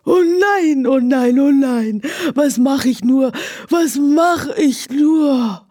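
A woman's voice: sits high, takes it slowly, dark tone, dry recording, studio quality. A woman voicing a fretful horse who moans and frets dramatically about what to dress up as. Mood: fretful wailing.

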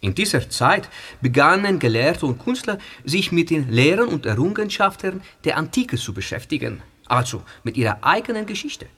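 An actor playing a friendly, slightly nervous young history teacher from northern Italy, speaking. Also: male voice, fairly high pitch, big pitch swings, moderate pace, hardly any pauses, breathy edge, exaggerated voice. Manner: polite, warm, explaining. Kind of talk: audio drama acting.

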